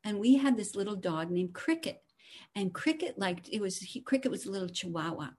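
Female guest storyteller, medium pitch, casual and animated anecdotal delivery. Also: fairly quick. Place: at home.

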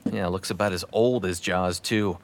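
A man's voice: low-pitched, steady tone, normal speed, slight background noise. An actor playing a practical, exasperated father with a sarcastic, weary, nagging manner in a family audio drama. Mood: skeptical, sarcastic.

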